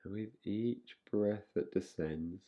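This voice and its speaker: Very deep, slow male meditation guide. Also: highs cut off. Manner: slow, unhurried, with long pauses.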